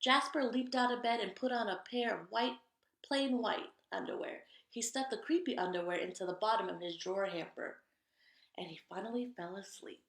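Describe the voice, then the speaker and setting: A woman reads a picture book aloud in an echoey room. Her voice has a medium pitch with a noticeable creak. She is expressive and breaks off to chat directly with young listeners. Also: moderate pace. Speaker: read-aloud storyteller. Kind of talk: animated children's picture-book read-aloud with chatty asides.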